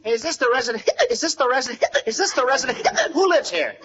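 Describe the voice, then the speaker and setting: A man's voice: very high-pitched, quick pace. An actor playing a droll young delivery boy who repeats his phrases, in 1940s radio comedy style. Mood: chirpy. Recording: muffled, very noisy old radio broadcast.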